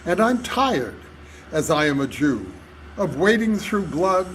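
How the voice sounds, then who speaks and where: An older man giving a solemn, deliberate, declamatory reading of poetry at a live club performance. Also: fairly high pitch, big pitch swings, a bit bright. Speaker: reader at a club reader's theater. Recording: noisy live hall recording.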